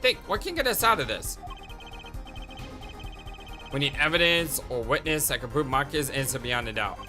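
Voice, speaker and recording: A man's voice: lively intonation, moderate pace, over music, natural voice. A man voicing a nervous young male defense attorney who talks urgently in a raised voice. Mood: anxious. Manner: anxious, urgent, high-strung.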